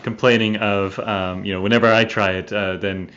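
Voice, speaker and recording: A man hosts a casual podcast, friendly and halting, with frequent fillers and restarts. His voice is fairly low. The audio is dull and lo-fi, like a remote call. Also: little pitch movement, fairly bright tone, slight background noise. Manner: hesitant, lots of fillers, friendly. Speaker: podcast co-host.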